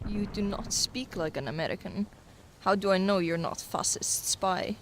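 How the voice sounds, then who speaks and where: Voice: mid-range voice, normal speed, natural voice. Wary Soviet soldier played by an amateur radio actor, curt and distrustful in broken English, noisy recording. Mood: suspicious. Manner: terse, suspicious, broken English. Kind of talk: amateur radio drama acting.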